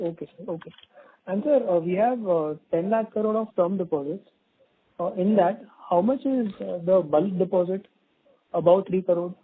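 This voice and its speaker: A man's voice: high voice, moderate pace, breathy edge. A man with an Indian accent calling in as an analyst, politely asking questions over the phone. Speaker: analyst caller. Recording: narrow tinny phone line.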